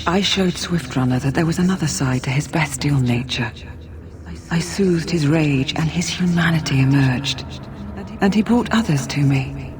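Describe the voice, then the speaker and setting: A woman voicing a solemn, compassionate forest spirit in a fantasy game, speaking in a measured, formal, earnest way. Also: low voice, background noise, lightly acted. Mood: gentle. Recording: music behind the voice. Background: game music.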